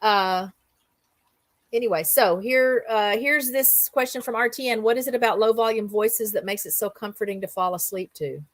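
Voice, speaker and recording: A woman's voice: fairly high pitch, normal speed, no room sound. A middle-aged or older woman hosting a casual livestream chat, talking in an enthusiastic, rambling storytelling way. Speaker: host of a livestream roundup show.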